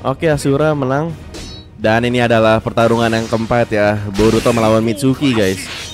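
A young man with a stuffy, blocked nose giving excitable, slangy play-by-play of a fighting game and talking straight to his viewers. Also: mid-range voice, moderate pace. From Indonesia. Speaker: gaming commentator. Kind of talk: casual gameplay commentary. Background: game music.

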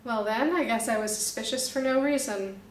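A woman's voice: fairly high pitch, normal speed, echoey room, slight background noise, natural voice. A woman voicing an earnest, suspicious male snake police officer in a storybook reading. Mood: stern.

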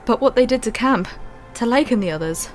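A woman's voice: on the high side, lively intonation, fairly quick, lightly acted. A woman playing a blunt, frightened young woman on an expedition in an audio play, speaking colloquially. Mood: uneasy. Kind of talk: dramatic audio play dialogue. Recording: music under the voice. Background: dramatic music.

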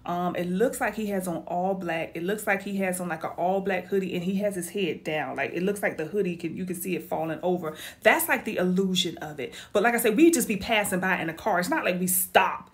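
A woman's voice: lowish voice, a little fast, hardly any pauses. Chatty woman vlogger. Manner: chatty, animated, addresses viewers as y'all. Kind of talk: casual chat to viewers.

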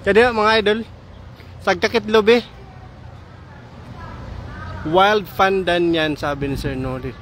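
A man's voice: high voice, slow, long pauses, a little hiss or hum. A man from Samar vlogging casually on a phone as he walks through a park by a pond, chatting and exclaiming to his viewers. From Samar, Philippines. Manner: chatty, excited exclamations, calls viewers "idol". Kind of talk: casual walking vlog, talking to viewers. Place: outdoor park by a pond, daytime.

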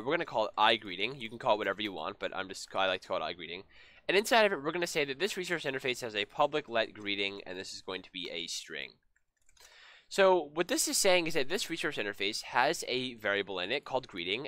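A young man explains code over his screen in an easygoing, rambling way, with plenty of ums. His voice is bright and pushed forward, a little hoarse from a cold. The sound is dry and close from a headset in a small room. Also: medium pitch, no room sound. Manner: relaxed, many ums and restarts. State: slightly hoarse from being sick. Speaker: programming tutorial host. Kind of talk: casual screen-share coding lesson.

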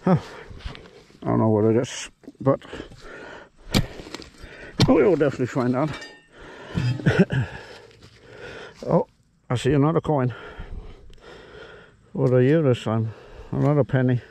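A man giving casual running commentary while digging outdoors, muttering to himself in an unhurried way. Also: mid-range voice, expressive, vocal fry, mellow, slight background noise. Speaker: metal detecting vlogger. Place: outdoors at an old farm house yard.

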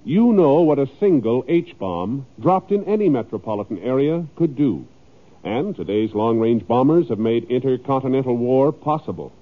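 A man's voice: mid-range voice, animated, moderate pace. An American man announcing on 1950s radio, reading a scripted public appeal. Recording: tinny, narrow old radio recording.

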